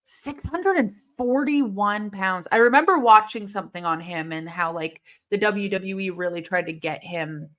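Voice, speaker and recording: A woman from Illinois hosting a live wrestling watch-along, chatting casually and rambling, with intense, sharp S sounds left from a lisp. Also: mid-range voice, unhurried, hardly any pauses. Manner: chatty and rambling, heavy use of "like". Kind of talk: casual live-stream chat reading comments.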